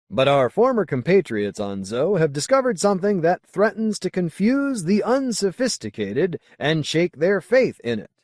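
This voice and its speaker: A man voicing a solemn, zealous colony leader in an audiobook, speaking in a formal, deliberate, oratorical way. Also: fairly high pitch, a little mellow, no echo, studio quality, pitched above the performer's own voice. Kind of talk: audiobook character dialogue.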